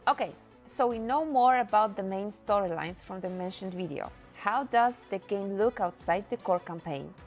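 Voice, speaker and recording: A woman's voice: medium pitch, animated, moderate pace, soft background music. A woman interviewer reading out scripted questions.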